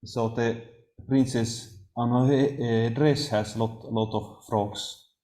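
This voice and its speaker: A Swedish man giving an academic talk, speaking haltingly with frequent pauses and restarts. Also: mid-range voice, fairly even intonation, warm tone. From Sweden. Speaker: lecturer. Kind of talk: academic discussion. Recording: roomy echo.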